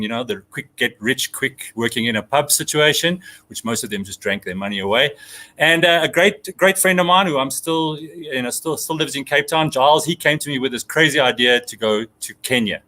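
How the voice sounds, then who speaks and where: A middle-aged South African man with a bright, forward voice at medium pitch. He tells stories as an interview guest, chatty and candid, rambling from one anecdote to the next. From Johannesburg, South Africa. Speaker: safari guide, interview guest. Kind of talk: conversational life storytelling.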